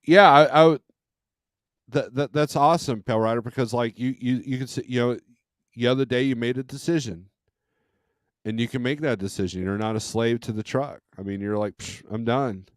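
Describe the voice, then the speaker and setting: A man's voice: mid-range voice, moderate pace, no room sound, studio quality. A man in his fifties with an American accent, swapping stories in a casual open-forum chat as host. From California, United States. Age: 50s. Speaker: host, truck driver and dispatcher.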